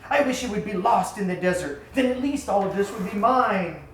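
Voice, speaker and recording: A man's voice: high voice, lively intonation, a little fast, fairly bright tone, distant mic. A man voicing a haughty, sarcastic, spoiled beauty in a storybook tale, complaining imperiously and theatrically. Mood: furious. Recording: roomy echo, faint background noise.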